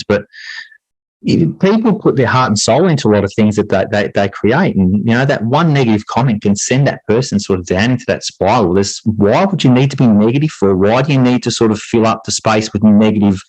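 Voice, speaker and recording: Male interviewee, deeper voice, dry recording, earnest and reflective, hedges as he goes. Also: a little mellow, husky, dry recording, rough recording. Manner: earnest, hedging with repeated "sort of". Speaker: podcast guest, high school English teacher and writer.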